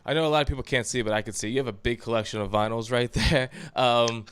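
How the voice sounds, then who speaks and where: A man hosting a casual interview show, asking relaxed questions and laughing easily. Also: medium pitch, smooth. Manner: laid-back, laughs often, quick questions. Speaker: host.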